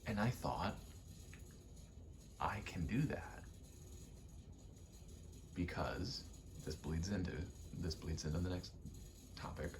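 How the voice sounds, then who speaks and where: A man rambling casually in a soft ASMR style over video game play, often slipping into a whisper and thinking aloud in short, halting pieces. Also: deep voice, animated, a little hiss or hum. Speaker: ASMR creator, gamer; actor and stage combatant.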